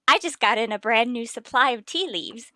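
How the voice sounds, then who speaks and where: A dotty older landlady from a vintage radio sitcom. She chatters kindly and fussily in a fairly high, bright voice. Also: animated, normal speed, no echo, studio quality. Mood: chatty, fussy. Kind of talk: 1950s radio sitcom acting.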